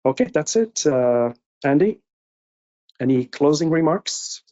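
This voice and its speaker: A man's voice: fairly high pitch, animated, takes it slowly, dark tone. A middle-aged man moderating an informal online Q&A, reading out technical questions from the audience. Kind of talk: informal webinar Q&A. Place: indoor room on a video call. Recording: muffled video-call audio.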